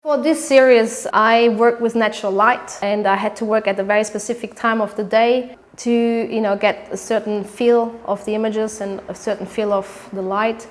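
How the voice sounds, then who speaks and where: A German woman speaking English with a German accent, describing her photographic work in halting speech with many fillers and restarts. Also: on the high side, fairly even intonation. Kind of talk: artist interview monologue.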